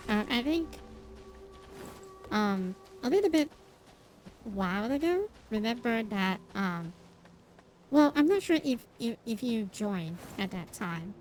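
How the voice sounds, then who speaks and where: A woman's voice: fairly high pitch, animated, slow, pauses often, darkish tone, soft background music, rough recording. Rambling woman streamer, many fillers. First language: not English. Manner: self-deprecating, laughs at herself. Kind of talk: casual chat with stream viewers while gaming.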